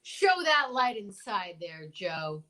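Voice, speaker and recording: A woman's voice: medium pitch, big pitch swings, slow pace, sharp tone, a little hiss or hum, exaggerated voice. A woman playing a determined, blustering male marshal in an old-time radio serial, with an emphatic, folksy, commanding delivery.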